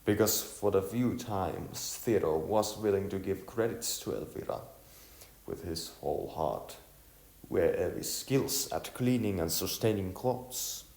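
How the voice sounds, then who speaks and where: Deep, slow-reading man narrating a story. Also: darkish tone. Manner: halting reading with stumbles and repeated words. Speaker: narrator of an audiovisual novel. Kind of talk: amateur scripted storybook narration. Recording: echoey room, music underneath.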